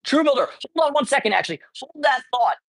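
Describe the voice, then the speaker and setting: A man's voice: very high voice, big pitch swings, quick pace, fairly bright tone. Rambling, ranting man on a livestream. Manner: ranting, profane, rambling with many restarts. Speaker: livestreamer and cat rescuer. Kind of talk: casual livestream chat. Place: cat colony.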